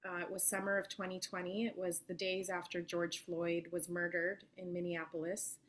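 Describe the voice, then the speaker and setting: A woman in her thirties, a journalist, giving a thoughtful and articulate account of her path into her work, with soft fillers. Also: lowish voice, level tone, moderate pace, a little hiss or hum. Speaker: guest journalist. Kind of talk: reflective interview answers.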